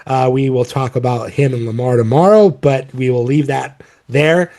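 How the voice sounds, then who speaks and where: A man hosting a casual sports talk show while fighting a cold, so his voice sounds a little off. His tone is dark and mellow. He rambles along with frequent ums and restarts. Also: medium pitch, normal speed. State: has a cold, voice a bit off. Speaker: podcast host.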